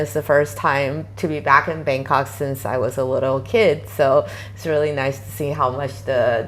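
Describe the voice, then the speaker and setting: Low-voiced chatty woman guest. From Taiwan. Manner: chatty, many fillers, quoting herself animatedly. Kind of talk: casual interview conversation.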